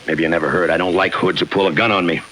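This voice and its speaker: An actor playing a hard-boiled, laconic homicide lieutenant with a short temper, terse and deadpan, in 1950s radio crime drama style. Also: male voice, deep voice, very fast, slight background noise. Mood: menacing. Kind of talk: 1950s radio crime drama acting with first-person narration. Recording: old radio broadcast with music behind.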